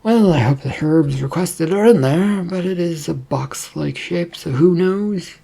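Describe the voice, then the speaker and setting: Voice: medium pitch, a little slow, vocal fry, dark tone, breathy edge, voice pushed up. A narrator voicing a distrustful young man in a storybook, muttering, hesitant and sarcastic. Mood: puzzled. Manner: muttering, stammering, grudging.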